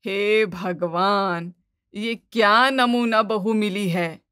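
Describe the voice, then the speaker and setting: A woman voicing a fussy, easily exasperated middle-aged mother-in-law in an animated family story, bossy and dramatic. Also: mid-range voice, drawn-out words, smooth, no echo, studio quality. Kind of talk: animated Hindi moral-story dubbing.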